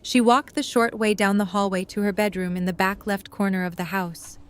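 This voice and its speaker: A woman's voice: mid-range voice, fairly even intonation, normal speed, clear, resonant tone. A woman reading scripted third-person story narration as the narrator of an audio drama.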